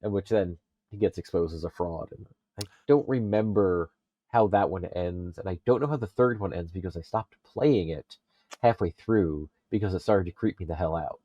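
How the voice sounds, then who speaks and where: A man on a casual pop culture podcast, talking in a halting way as he thinks aloud. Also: low-pitched, highs cut off. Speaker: podcast panelist.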